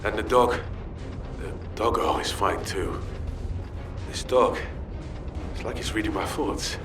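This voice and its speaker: Game soldier, lowish voice, terse, informal, dogged, distant roomy sound, music behind. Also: male voice, slow. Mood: reassuring. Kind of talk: video game dialogue acting.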